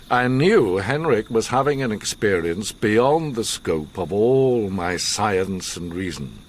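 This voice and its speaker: Older learned gentleman, radio drama. Low, animated delivery, measured pauses, grave intensity, slight background noise. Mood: intense, awed.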